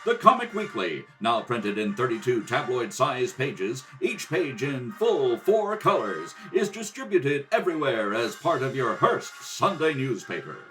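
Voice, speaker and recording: A man's voice: mid-range voice, very animated, a little slow, few pauses, fairly bright tone, soft background music. A man narrating a scripted radio adventure serial as announcer, dramatic and declamatory. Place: kitchen table at home. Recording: home kitchen recording, distant mic.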